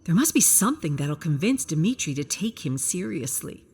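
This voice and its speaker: A woman's voice: low-pitched, sing-song, normal speed, darkish tone, husky. A woman reading scripted science fiction story narration in the manner of an audiobook.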